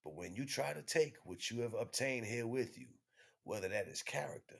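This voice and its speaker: A man delivering an impromptu spiritual monologue in an emphatic, repetitive, slangy street-preacher manner, with a husky voice. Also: medium pitch, expressive, normal speed, fairly bright tone. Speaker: spiritual teacher streaming online.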